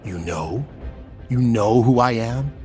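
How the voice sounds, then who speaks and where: A man's voice: mid-range voice, animated, slow pace. A man voicing a vengeful, tormented mutant supervillain in a comic dub, menacing and anguished, with bitter delivery. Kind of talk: dramatic comic dub acting. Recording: loud music behind the voice.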